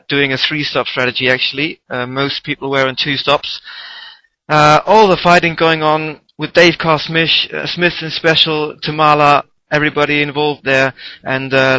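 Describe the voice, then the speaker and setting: A man's voice: medium pitch, pushed and bright, no echo, low-quality recording. A man giving a post-race summary as a sim racing commentator.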